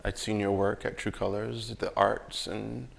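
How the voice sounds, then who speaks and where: A man's voice: fairly low pitch, flat delivery, unhurried, creaky, a little hiss or hum. A man interviewing someone in a casual, conversational way, often chiming in with affirmations and repeating his words.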